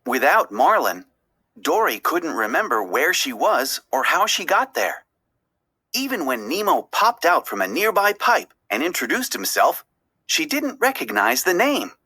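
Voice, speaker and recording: A woman narrating a children's story aloud, expressively, with deliberate, dramatic phrasing. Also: deep voice, big pitch swings, pushed and bright, husky.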